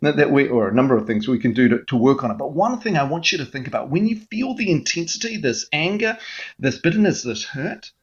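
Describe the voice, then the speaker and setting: A man's voice: medium pitch, lively intonation, fast, dull audio. A man speaking as a mental health guest in an interview, explaining with an earnest coaching manner and frequent restarts.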